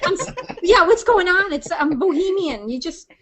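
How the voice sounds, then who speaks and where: A woman co-hosting a casual podcast, playful, blunt and excitable in the way she talks. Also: very high-pitched, moderate pace. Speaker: podcast co-host. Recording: clear background noise, clicks.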